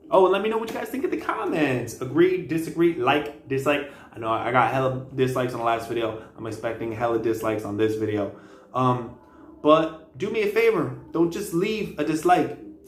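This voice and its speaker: A man hosting a casual history vlog, talking in a loose, slangy way with many fillers and restarts. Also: medium pitch. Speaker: video host. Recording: roomy echo with faint background noise.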